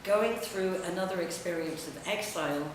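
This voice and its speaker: A middle-aged woman, a writer, presenting her work in a halting, reflective public talk with long pauses. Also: on the low side. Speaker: writer and editor presenting own essay. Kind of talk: public talk, semi-improvised. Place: echoey indoor room at a public event. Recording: very echoey distant room recording, noisy.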